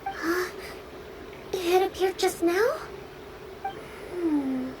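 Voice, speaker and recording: A woman voicing a polite, thoughtful young girl in a video game, level-headed and well-spoken with a measured, courteous manner. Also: extremely high pitch, very noisy, full character voice. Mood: curious.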